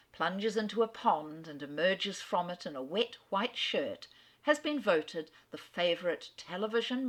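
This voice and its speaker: A woman giving an enthusiastic, expressive talk on a classic author, narrating like a storyteller. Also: fairly low pitch. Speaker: literary speaker. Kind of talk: lecture narration.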